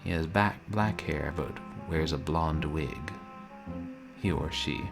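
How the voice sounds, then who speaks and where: A man streaming a video game, chatting casually and soft-spoken with viewers, often chuckling. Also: extremely low pitch, slow, vocal fry. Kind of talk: casual let's-play chat. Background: game music.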